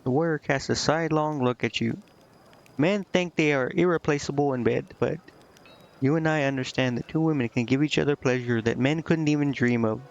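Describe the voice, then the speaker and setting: A man streams a game in a casual, rambling way, with a mid-pitched voice. He talks half to himself, hesitating as he goes. The microphone sounds a little dull and muffled. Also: a little fast, gravelly. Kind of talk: casual gameplay commentary, reading game dialogue aloud.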